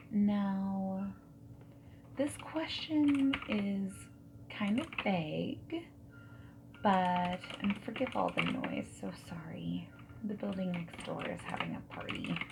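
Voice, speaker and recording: A woman playing a friendly dating service interviewer in a soft-spoken ASMR roleplay, breathy and often slipping into a whisper, gentle and reassuring. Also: mid-range voice. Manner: gentle, unhurried, reassuring, asking questions. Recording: background noise with keyboard typing and clicks.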